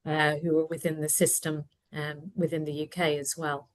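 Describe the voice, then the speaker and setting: A woman's voice: sits low, flat delivery, moderate pace. A woman hosting a webinar over a video call, friendly as she prompts her guests with questions. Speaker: webinar host.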